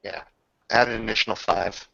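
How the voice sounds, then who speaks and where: A man's voice: lowish voice, fairly even intonation, moderate pace, creaky, bright, forceful tone, dry recording, dull audio. A man casually running a tabletop roleplaying game as game master, giving rules calls and playing up the dramatic moments.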